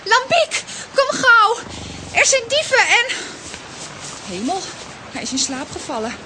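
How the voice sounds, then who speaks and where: A woman playing a plucky, bossy young girl in a children's audio drama, brisk and scolding. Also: extremely high pitch, huge pitch swings, exaggerated voice. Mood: worried, resolute. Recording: noisy audio with rain behind.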